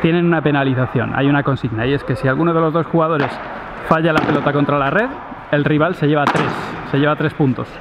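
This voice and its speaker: Chatty padel coach outdoors. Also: male voice, a little high, quick pace, muffled recording. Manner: relaxed, chatty, with frequent restarts. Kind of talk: casual vlog narration. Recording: loud outdoor background noise.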